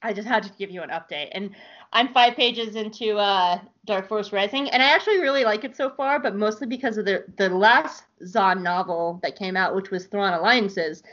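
Gushing, excitable woman podcaster. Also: medium pitch, moderate pace. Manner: gushing, excitable, swears and laughs often. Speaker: podcast host. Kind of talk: casual podcast chat. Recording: dull lo-fi sound.

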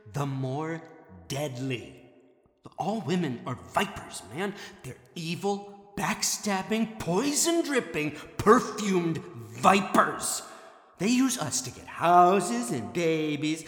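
Actor as a bitter, husky-voiced cynical best friend. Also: male voice, high voice, bright, forceful tone, full character voice. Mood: bitter ranting. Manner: sardonic, emphatic, drawn-out delivery. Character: bitter, cynical best friend ranting about deadly women. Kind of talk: audio drama acting.

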